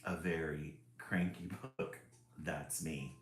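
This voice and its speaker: A man's voice: rumbling low, fairly even intonation, takes it slowly, reverberant. A man hosting a casual read-aloud stream, chuckling and greeting viewers by name as he reads a children's book.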